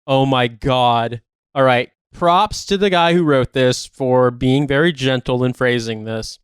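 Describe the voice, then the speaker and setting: A man's voice: mid-range voice, measured pace, clean tone, no echo, studio quality. A man co-hosting a podcast in casual banter, talking in an emphatic, incredulous, sweary way. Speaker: podcast co-host.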